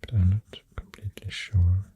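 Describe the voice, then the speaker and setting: A soft-spoken ASMR host with a Swedish accent, rambling gently through facts in a hushed, breathy, whispery voice with unhurried pauses, close to the microphone. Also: sits low, little pitch movement, no room sound, narrow, tinny audio. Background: pencil writing and clicking sounds.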